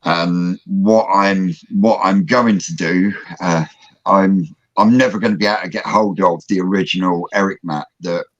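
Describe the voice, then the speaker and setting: Man, very low voice, rambling host, dull lo-fi sound. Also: fairly even intonation, normal speed, no echo. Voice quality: rambling, technical explanations with many fillers. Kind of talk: casual livestream chat. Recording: dull lo-fi audio.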